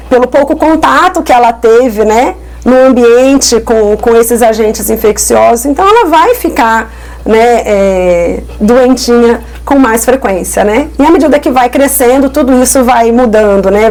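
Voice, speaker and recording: A woman with a Carioca accent from Rio de Janeiro, a pediatric lung doctor explaining child health in an interview, with frequent tag questions and gentle diminutives. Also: on the high side, rough recording. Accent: Carioca Portuguese. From Rio de Janeiro, Brazil. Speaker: pediatric pulmonologist guest. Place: podcast studio.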